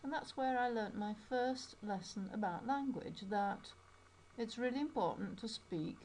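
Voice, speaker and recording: A woman's voice: a little high, measured pace, a little mellow, slight background noise. A middle-aged woman with a Yorkshire accent, an English teacher chatting through her own story in a personal video. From England. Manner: chatty, storytelling with small asides.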